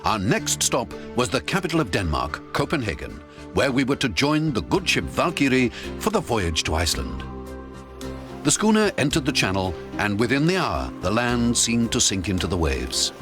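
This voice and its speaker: A man giving dramatic scripted narration of a story, with an expressive, theatrical delivery. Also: medium pitch, normal speed, music in the background.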